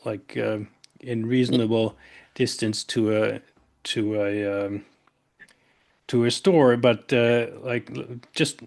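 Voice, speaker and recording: Man, hesitant, lots of uhs, podcast host, mid pitch. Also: fairly even intonation, slow pace, gravelly, pauses often, darkish tone. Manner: hesitant, many fillers, searching for English words. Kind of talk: casual conversational interview.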